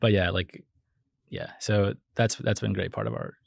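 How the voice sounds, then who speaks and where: A young man, a startup founder, chatting casually in an interview, hesitant with many fillers and restarts and quick laughs. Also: lowish voice, creaky, breathy edge, dry recording, studio quality. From United States.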